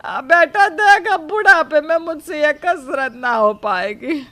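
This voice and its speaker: A woman voicing a dramatic, scolding old mother-in-law who complains in a drawn-out, exasperated wail for a cartoon story. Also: high voice, fairly bright tone. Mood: pleading. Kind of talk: cartoon moral story acting.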